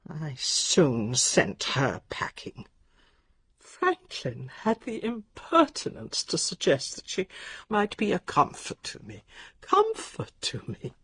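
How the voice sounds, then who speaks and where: Old posh english invalid lady, radio play, breathy n raspy, lively swoops, snippy but drifts off. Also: deep voice, full character voice. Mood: querulous, scornful. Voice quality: frail, breathy, husky. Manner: halting, querulous, imperious upper-class speech. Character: ailing bedridden older lady, sharp-tongued and wandering. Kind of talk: radio drama acting.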